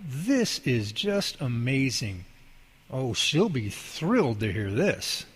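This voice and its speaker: An actor playing an eager, grateful and devout employee in an amateur radio drama, speaking with earnest wonder. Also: male voice, mid-range voice, sing-song, slow pace, slightly rough, exaggerated voice. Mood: amazed, grateful. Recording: long hall reverb.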